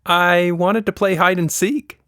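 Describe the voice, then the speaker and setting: A man's voice: sits high, fairly even intonation, measured pace, a bit bright, no echo, clean studio sound, voice pushed up. A man voicing an imaginative, headstrong little girl in a children's story, speaking earnestly and expressively. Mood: explaining.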